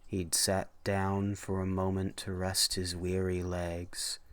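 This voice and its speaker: A man hosting and narrating horror story readings, with deliberate, halting phrasing that moves between casual introduction and dramatic reading. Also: low-pitched, completely flat delivery, fairly bright tone, clear, resonant tone.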